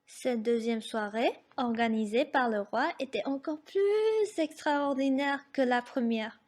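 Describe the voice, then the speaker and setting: Woman as storybook narrator for a radio play, high voice, measured storytelling. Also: darkish tone. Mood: composed. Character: female fairy-tale storyteller.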